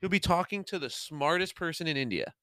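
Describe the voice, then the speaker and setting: A man's voice: on the high side, lively intonation, a little fast, pushed and bright, no room sound. A young man co-hosting a casual podcast, rambling with lots of fillers, laughing and swearing. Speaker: podcast co-host. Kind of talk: casual chat.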